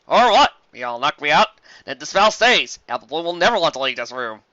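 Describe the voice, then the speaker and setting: A reader voicing a brash male unicorn swindler in a fan storybook reading, loud and taunting. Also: medium pitch, very animated, brisk, no room sound, dull audio. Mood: smug. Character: scheming male unicorn con artist, brash.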